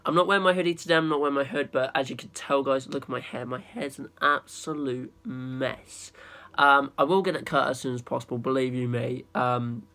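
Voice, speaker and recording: A young man with a cold and a blocked-up nose, chatting quickly to viewers and singing along in a small room. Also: mid-range voice, fairly even intonation, pushed and bright. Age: young adult. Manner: rapid, chatty, repeats "guys". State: stuffy from a cold. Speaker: YouTube singer, channel host. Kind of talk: casual vlog talk and karaoke singing. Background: backing music.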